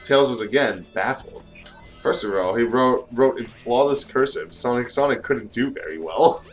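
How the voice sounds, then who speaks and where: A man's voice: mid-range voice, animated, moderate pace, soft background music. A tired man co-hosting and reading fan fiction aloud in a casual way, stumbling over words and adding joking asides. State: tired, short of sleep.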